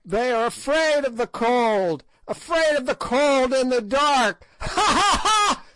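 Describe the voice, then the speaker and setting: A man reading aloud and voicing a spiteful, ancient witch woman in shrill, cackling tones. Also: slow pace, a bit bright, clear, resonant tone, dry recording, rough recording. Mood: raving.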